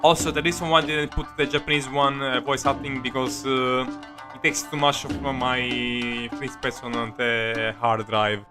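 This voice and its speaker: Halting male game streamer over music. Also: mid-range voice, level tone. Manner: halting, hesitant. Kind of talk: casual live commentary on gameplay. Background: video game music.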